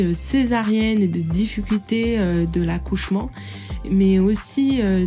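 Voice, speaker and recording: A woman hosting a podcast, reading a deliberate intro and adding fillers as she goes off script. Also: mid-range voice. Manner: slow and deliberate, with fillers when going off script. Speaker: podcast host. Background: soft music.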